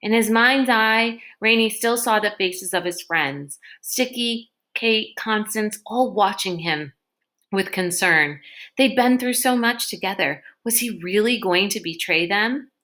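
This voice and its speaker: A woman narrates a children's book aloud at a slow, measured pace. Her delivery is expressive storytelling, with a medium-pitched voice. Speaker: read-aloud reader.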